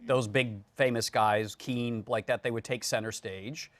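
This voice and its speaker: A man's voice: medium pitch, steady tone, a little slow, dry recording. A man co-hosting a talk show, interviewing guests in a conversational way. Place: TV studio.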